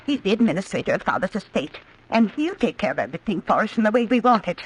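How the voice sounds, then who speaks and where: A woman's voice: medium pitch, big pitch swings, fast, husky. An actress playing an older woman, a suspicious and imperious aunt, in old-time radio drama style. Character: suspicious, indignant old aunt, doting on her pets. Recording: noisy vintage radio recording.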